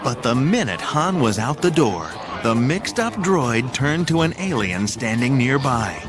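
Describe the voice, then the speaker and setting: A man's voice: medium pitch, sing-song, unhurried. A man narrating an audio drama, reading a scripted story in a dramatic style. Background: music.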